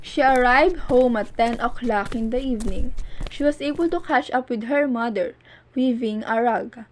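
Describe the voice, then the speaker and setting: A woman's voice: a little high, moderate pace, a little hiss or hum, rough recording. A woman giving scripted narration for an amateur cartoon story.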